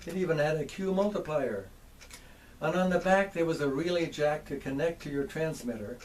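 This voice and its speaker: An older man with an American accent gives a folksy, measured show-and-tell, addressing viewers directly like a hobbyist presenter. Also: a little high. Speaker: presenter, antique radio hobbyist. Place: home workshop.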